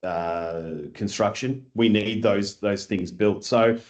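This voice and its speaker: A man who grew up in Australia, with an Australian accent, talking as an interview guest in an animated, rambling way full of fillers and restarts. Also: on the low side, slow pace. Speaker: guest, industry scheme chief executive. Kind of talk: casual conversational interview.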